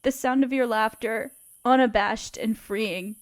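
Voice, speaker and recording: A grieving young woman pours her heart into a letter to a lost love, as a woman acts her out, her voice high and sniffling with tears. She speaks slowly and softly, lingering over each tender phrase. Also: steady tone, natural voice. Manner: soft, hushed and tender. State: sniffling, tearful. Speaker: audio roleplay performer. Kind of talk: intimate audio roleplay reading a letter.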